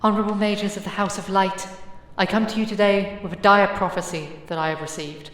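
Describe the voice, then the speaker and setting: A woman's voice: mid-range voice, steady tone, lightly acted. Woman acting a haughty fantasy seer mother. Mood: formal, grave. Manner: formal, condescending, measured. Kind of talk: audio drama acting.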